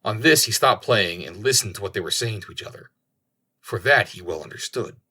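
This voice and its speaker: Low, husky male storyteller. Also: lively intonation, fairly quick, sharp tone. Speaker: audiobook narrator. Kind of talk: scripted storybook narration.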